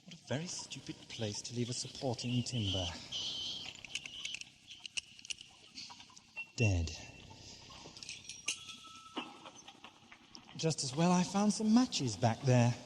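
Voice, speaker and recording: An actor playing an eccentric, conceited time traveller in an audio drama, wordy, pompous and drily witty. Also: male voice, mid-range voice, animated, moderate pace, noisy background, lightly acted. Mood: muttering, irritated. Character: eccentric, conceited time-travelling male adventurer, wry and curious. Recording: 1980s amateur audio drama with loud music.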